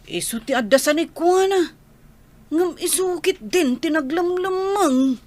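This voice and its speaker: A woman's voice: very high voice, expressive, unhurried, a little mellow. An actress with a northern Philippine accent playing a concerned, anxious village woman in a radio drama, with a plaintive manner. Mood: worried.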